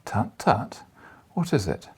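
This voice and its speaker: A man's voice: takes it slowly, lightly acted. A man voicing a wry, coaxing old newspaper editor with a Scottish accent in an audiobook. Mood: doubtful, discouraging. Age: older.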